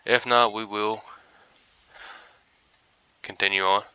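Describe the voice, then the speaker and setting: A man's voice: on the low side, flat delivery, takes it slowly, sharp tone, clean tone, no echo, highs cut off. A young man casually commentating a hunting game, groggy and rambling as if he just woke up. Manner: laid-back, rambling, mumbly asides. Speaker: gaming streamer.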